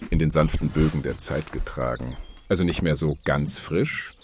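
An actor playing a self-satisfied commune dweller with a dry, teasing turn in a satirical radio play. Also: male voice, extremely low pitch, normal speed, a little mellow, slight background noise.

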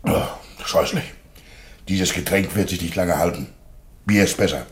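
A man plays a Germanic warrior with a deep voice. He is mild, curious and courteous, speaking in a matter-of-fact, genial way within a theatrical radio play. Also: normal speed, natural voice.

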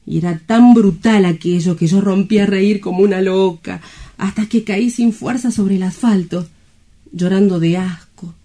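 An actress plays a desperate young woman in a radio drama. Her voice is very mellow and dark in tone. She speaks with fearful, wounded intensity, as someone worn down by hunger and loneliness. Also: medium pitch, moderate pace, lightly acted. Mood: bitter, sorrowful. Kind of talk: stage-like radio drama acting.

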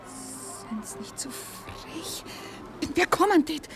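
An actress playing a naive, anxious, clinging young girl with a Viennese accent in a radio play, often hushed and pleading. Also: sits high, very animated, drawn-out words, gravelly, music in the background, heavily acted. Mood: nervous, coy. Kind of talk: radio play acting in dialect.

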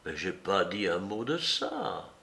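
A man's voice: low voice, wildly swooping pitch, slow, vocal fry, bright, forceful tone, pitched below the performer's own voice, heavily acted. A man reading aloud and voicing a young male clerk who speaks in a dry, offhand, dismissive way. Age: young adult.

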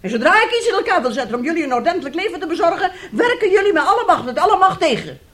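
A woman's voice: very high-pitched, sing-song, rapid-fire, fairly bright tone, heavily acted. A woman acting in a radio drama as a bitter, domineering, self-pitying mother, scolding melodramatically. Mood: indignant, self-pitying.

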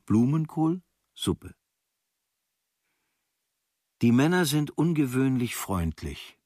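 A man reads a literary travel diary for radio as scripted narration, measured and in short, clipped phrases. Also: mid-range voice, no room sound, clean studio sound. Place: dry studio.

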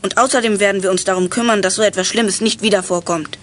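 A woman's voice: medium pitch, steady tone, quick pace, natural voice. A woman playing a clever, determined boy detective in a German children's audio drama, speaking earnestly and decisively.

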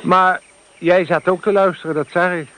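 An actor playing a curious, thoughtful radio inspector in a van, who talks in an easygoing, reflective way, in a radio play. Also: male voice, high-pitched, fairly even intonation, normal speed, sharp tone. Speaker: radio monitoring van operator. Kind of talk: radio drama dialogue.

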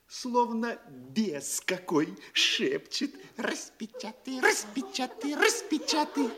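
An actor playing a nosy, excitable provincial postmaster in a classic comedy audio play, savoring each phrase theatrically. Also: male voice, high-pitched, full character voice. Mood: confessional, thrilled dread.

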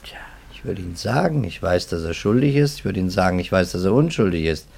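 A pragmatic police investigator in a 1950s radio play. His voice sits fairly low. He talks deliberately and matter-of-factly, with a guarded, slightly weary skepticism. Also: animated, gravelly, a little mellow, husky, heavily acted. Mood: measured, skeptical. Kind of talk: 1950s German radio drama acting. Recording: 1950s radio drama recording.

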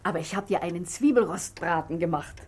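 An actress in a 1960s radio play, playing a chatty, attentive, curious wife of a police inspector. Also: female voice, lowish voice, a little fast, a little mellow, husky. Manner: chatty, solicitous. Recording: vintage radio recording with faint noise.

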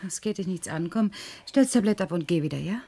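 Radio play lead: brittle, obsessed programmer, breathy and husky, halting, guarded delivery. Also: female voice, on the low side, expressive, mellow, exaggerated voice. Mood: curt, guarded. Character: brittle, secretive, grieving woman programmer, obsessed and desperate.

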